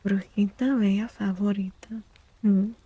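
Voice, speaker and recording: A woman speaking softly and breathily in a gentle, unhurried near-whisper, chatting as an ASMR livestream host and often softly repeating phrases. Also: medium pitch, fairly even intonation, no room sound. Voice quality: creaky. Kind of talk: soft-spoken ASMR livestream chat.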